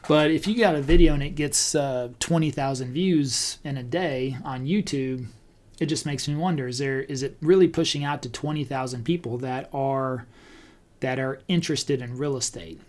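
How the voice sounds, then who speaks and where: A man talking casually as a podcast host, conversational and thinking out loud as he goes. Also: medium pitch, normal speed. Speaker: podcast host and real estate agent. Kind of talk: casual talk about online video marketing.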